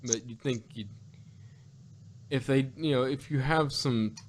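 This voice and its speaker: A man reviewing in a casual podcast conversation, talking in a halting, animated, argumentative way and often repeating himself. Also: mid-range voice, slight background noise. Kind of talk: casual review chat about an audio drama.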